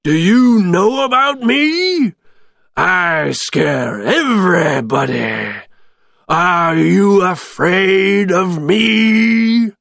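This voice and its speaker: Storybook monster villain, high-pitched, slow drawn-out taunts, gleeful, menacing, loud. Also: male voice, lively intonation, gravelly, no echo, studio quality, full character voice.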